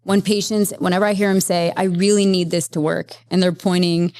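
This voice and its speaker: A woman therapist speaking as a podcast guest, explaining at length in a lively, conversational way with many fillers. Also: on the low side, fairly quick, no echo. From Las Vegas, Nevada, USA. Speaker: guest, psychedelic integration therapist. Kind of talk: conversational interview.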